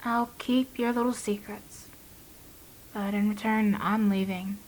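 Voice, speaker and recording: A woman's voice: mid-range voice, fairly even intonation, unhurried, darkish tone, slight background noise, close to an everyday voice, poor audio. A woman acting in an audio drama as a bitter, anguished young wife who wants out of motherhood. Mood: tearful, resigned. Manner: bitter, emotional.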